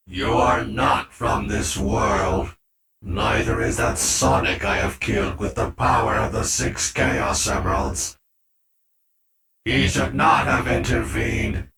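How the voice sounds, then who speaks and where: Booming, echoey killer robot. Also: male voice, creaky, exaggerated voice. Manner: stiff, declarative, booming. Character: ruthless hulking killer robot, cold and menacing. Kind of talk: fan audio drama acting. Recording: echoey, distant, loud music underneath.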